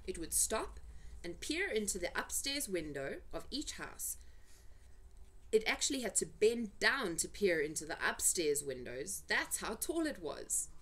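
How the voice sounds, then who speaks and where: A woman's voice: mid-range voice, a bit bright. A woman reading a children's story aloud, with dramatic, suspenseful delivery and emphasized words. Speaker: amateur storyteller reading for family. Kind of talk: expressive children's storybook narration.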